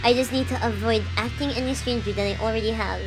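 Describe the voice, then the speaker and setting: A woman's voice: fairly high pitch, steady tone, quick pace, poor audio. Giggly, chatty woman streamer. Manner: chatty, giggly asides between read-aloud narration. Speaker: VTuber streamer. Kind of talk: casual livestream reading a visual novel aloud. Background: soft game music.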